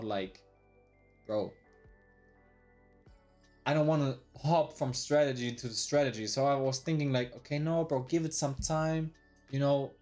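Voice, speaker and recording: A man's voice: medium pitch, a little mellow. A man in his early twenties talking casually on a live stream, slangy and rambling, with plenty of swearing and "bro". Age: 20s. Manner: slangy, swears often, says "bro" a lot; rambling with restarts, replays his past thoughts aloud. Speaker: day trader live-streaming.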